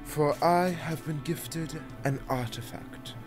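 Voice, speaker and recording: A man plays a pompous, smug fantasy tyrant with a fairly high male voice. He speaks slowly and grandly, savoring his own theatrical villainy, with faint music behind him. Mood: menacing. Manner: grandiose, theatrical, slow speeches. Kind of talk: scripted audio drama acting.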